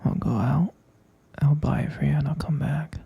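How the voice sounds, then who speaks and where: A man's voice: on the high side, steady tone, normal speed, warm tone, full character voice. A man playing an obsessive, possessive kidnapper boyfriend in an intimate ASMR roleplay, whispering huskily and coaxing with doting pet names. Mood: doting, menacing. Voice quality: breathy, husky whisper with gravelly creak. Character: obsessive, possessive male yandere kidnapper, sweetly menacing boyfriend.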